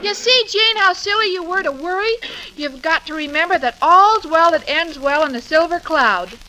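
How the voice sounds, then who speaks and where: A naive, scatterbrained young woman of 1940s radio comedy. Her voice sits extremely high and she speaks with earnest, oblivious sweetness. The old recording crackles with clear noise. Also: lively intonation, normal speed. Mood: blithely cheerful. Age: young adult.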